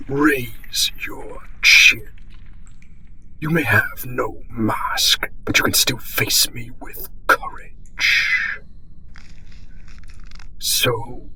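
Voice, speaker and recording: A man playing an eldritch masked auditor of an underground city, a calm, possessive captor who whispers breathily in formal, archaic words, in a fantasy audio roleplay. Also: medium pitch, a little hiss or hum, full character voice, poor audio. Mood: stern, measured. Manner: formal, archaic wording, slow and soothing.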